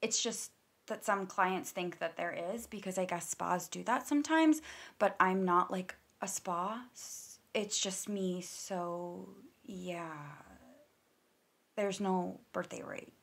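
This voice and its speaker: A woman's voice: fairly low pitch, slow pace, vocal fry, a bit bright, heavily acted. A woman playing a guarded, sardonic massage therapist with clipped, deflecting replies in a naturalistic audio play. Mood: reserved, awkward. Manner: clipped deflections, sarcastic when riled.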